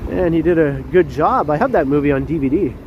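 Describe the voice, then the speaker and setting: A man's voice: fairly high pitch, brisk, muffled recording. A man with a stuffy nose from a cold, chatting and greeting viewers by name while he walks a city street on a livestream. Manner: chatty, laughs often, greets chat readers by name. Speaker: livestream host. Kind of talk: casual walking livestream chat. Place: city street outdoors at night, with traffic. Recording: outdoor street noise.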